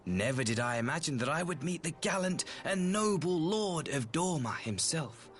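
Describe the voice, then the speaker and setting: An actor playing a courtly, flattering imperial envoy in a video game, speaking in formal, ornate, diplomatic phrases. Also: male voice, a little high, moderate pace, lightly acted. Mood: courteous, humble. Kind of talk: scripted video game voice acting. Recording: music behind the voice.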